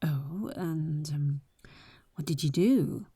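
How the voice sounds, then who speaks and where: A woman playing a bold, impatient but caring adventurer in an intimate roleplay audio drama, with breathy, teasing, exasperated delivery. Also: deep voice, slow pace, warm tone, dry recording, studio quality, full character voice. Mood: curious, teasing.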